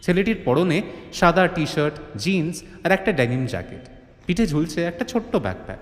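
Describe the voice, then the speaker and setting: A man's voice: a little high, big pitch swings, normal speed. A man narrating a scripted horror-comedy story in a theatrical, suspenseful style. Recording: reverberant, faint ambient noise.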